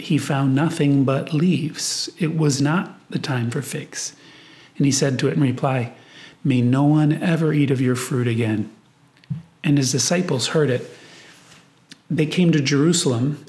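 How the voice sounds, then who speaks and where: A man's voice: medium pitch, darkish tone. Man, mid-range voice, priest, reflective, prayerful, guided meditation delivery. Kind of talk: guided meditation, spoken prayer and scripture reading.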